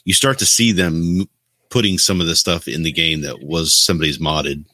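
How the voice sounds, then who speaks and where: A man's voice: sits low, fairly even intonation, moderate pace, pushed and bright, no echo. A man on a podcast panel talking casually about video games.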